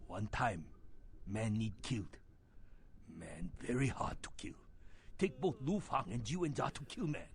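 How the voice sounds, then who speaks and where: Game role: retired Chinese hitman, older, shady and boastful. Chinese-accented broken English, slow, very animated intonation, some background noise. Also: mid-range voice, gravelly. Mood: conspiratorial, suspicious. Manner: broken English, refers to himself in third person. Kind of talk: video game character acting.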